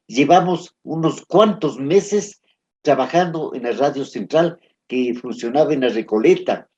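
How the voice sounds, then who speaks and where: Earnest man, slightly high voice. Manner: earnest, warm reminiscing. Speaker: interviewee. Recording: muffled lo-fi recording.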